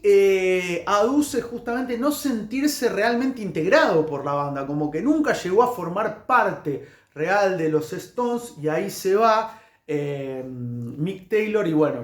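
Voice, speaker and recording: A man with an Argentine accent talking casually about music history to camera, digressing and often stopping to correct himself. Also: high voice. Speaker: music vlogger. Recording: echoey room.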